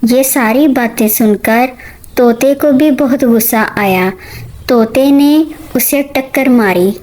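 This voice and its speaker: A woman narrates a children's story as a storyteller. Her voice is on the high side. She takes the scripted lines at a very slow pace. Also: darkish tone, poor audio.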